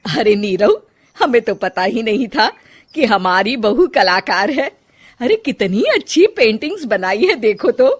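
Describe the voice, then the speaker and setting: A woman's voice: a little high, big pitch swings, unhurried, husky, full character voice. A woman voicing a kindly, teasing middle-aged mother-in-law in a family story, with emphatic motherly exclamations. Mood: warm, supportive. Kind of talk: acted dialogue in narrated Hindi family story.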